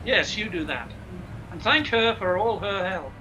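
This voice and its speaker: A man playing a brisk, commanding Scotland Yard inspector in a live radio play. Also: sits high, animated, sharp tone, lo-fi sound, a little hiss or hum, exaggerated voice. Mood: businesslike.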